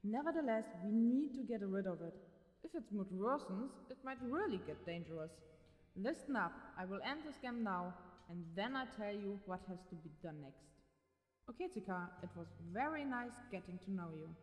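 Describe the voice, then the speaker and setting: A woman's voice: medium pitch, animated, normal speed. A woman playing a stern, commanding young occult expert in a dramatised horror story, giving instructions with authority through heavy reverb. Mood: stern, instructing. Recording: echoey, long hall-like reverb.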